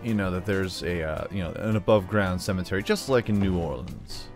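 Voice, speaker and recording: A man's voice: sits low, brisk. An American man giving casual gameplay commentary, playful and rambling as he thinks aloud. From United States. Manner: playful, often slips into put-on drawls. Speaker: gaming video host. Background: background music.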